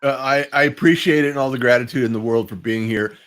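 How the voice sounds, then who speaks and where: A man's voice: mid-range voice, fast, a bit bright. A man hosting a casual, chatty live interview online, talking in a rambling, appreciative way. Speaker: host of a livestream interview show. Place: at home, live online video call. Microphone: small personal microphone.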